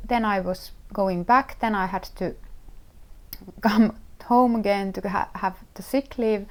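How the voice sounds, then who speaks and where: A middle-aged woman from Finland with a Finnish accent, hosting her own knitting podcast and talking casually, often pausing with fillers and restarting her sentences as she searches for words. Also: mid-range voice. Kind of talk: casual solo podcast talk.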